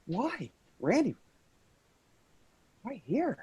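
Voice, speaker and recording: A man's voice: very high voice, huge pitch swings, drawn-out words, warm tone, slightly rough, heavily acted. A man in a staged play reading, playing an earnest, appalled office worker who pleads with those around him. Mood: distressed, pleading.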